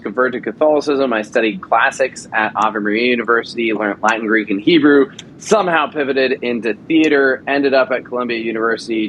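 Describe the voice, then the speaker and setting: A man in his thirties with a mid-range voice, speaking as an interview guest. He tells his stories eagerly and fills pauses often. A faint low rumble sits under the recording. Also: a bit bright, poor audio. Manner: enthusiastic storyteller with frequent fillers. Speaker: actor and audio drama producer. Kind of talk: casual interview chat.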